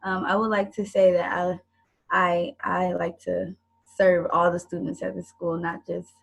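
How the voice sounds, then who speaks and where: A woman's voice: fairly low pitch, flat delivery, measured pace, creaky, slightly rough, poor audio. A young woman from South Carolina introducing herself on a panel in an easygoing, giggly way. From South Carolina, USA. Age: young adult. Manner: easygoing, giggly, warm about students. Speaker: panelist, service member. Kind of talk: casual introduction on a video call. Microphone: video call.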